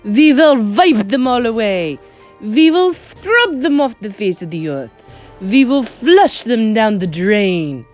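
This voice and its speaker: A woman's voice: low voice, soft background music. A woman voicing a tyrannical, venomous witch in a children's audiobook, screeching and thundering her commands. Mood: screaming disgust. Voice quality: screeching, harsh. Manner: thunderous, drawn-out, commanding, broken foreign-style grammar. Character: tyrannical, venomous Grand High Witch, female villain.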